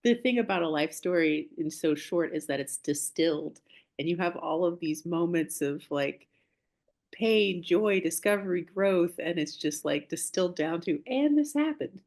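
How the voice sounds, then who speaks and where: A woman's voice: fairly low pitch, animated, moderate pace, a little mellow. Reflective woman asking interview questions. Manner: reflective, searching, uses "like" and "um".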